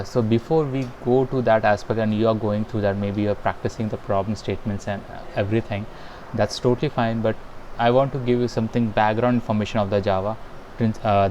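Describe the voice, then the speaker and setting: Man, lowish voice, online instructor, hesitant and filler-heavy; faint noise on the call line. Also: a little mellow, dull audio. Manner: halting and hesitant, many fillers and restarts. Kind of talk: live online programming lesson. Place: home in a rainy town.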